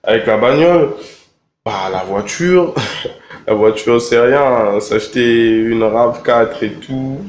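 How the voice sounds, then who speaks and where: A young man from Congo answering interview questions in a relaxed, halting way, with many fillers and repeated words. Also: medium pitch, slight background noise. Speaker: rapper interviewed. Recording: echoey room with faint ambience.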